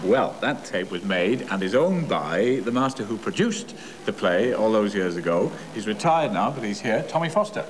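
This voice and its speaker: A man presenting a television programme, asking questions in a conversational, gently teasing way. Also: fairly low pitch, animated, moderate pace, hardly any pauses. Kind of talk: interview questions. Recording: 1980s TV film with long reverb, light hiss.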